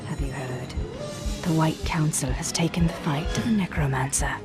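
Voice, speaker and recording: A serious female elf guard from a video game, voiced by a woman, notably deep for a woman. She sounds vigilant under loud music. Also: normal speed, natural voice. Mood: grave.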